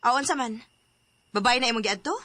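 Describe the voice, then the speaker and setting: A woman's voice: a little high, a little fast, sharp tone. An actress playing a jealous, heartbroken young woman in a radio drama, emotional and pleading. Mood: jealous, upset.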